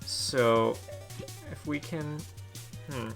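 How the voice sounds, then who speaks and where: A man's voice: medium pitch, steady tone, extremely slow, over music. A man hosting a let's play, giving casual commentary and thinking aloud as he plays. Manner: thinks aloud while playing.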